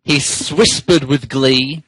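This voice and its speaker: A man's voice: medium pitch, fairly even intonation, takes it slowly, fairly bright tone, breathy edge, no echo. A man who co-hosts a podcast, giving a giggly, theatrical comedic reading of fan fiction and stumbling over words. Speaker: podcast co-host.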